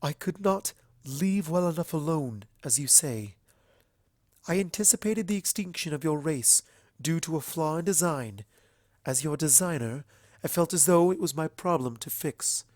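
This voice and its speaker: A gentle royal mare, acted by a man from a fantasy storybook. The delivery is soft and sorrowful, with formal wording. It is recorded dry. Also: on the high side, animated, normal speed, no echo, pitched above the performer's own voice, natural voice. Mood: solemn regret. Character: gentle, sorrowful sun princess mare, self-blaming.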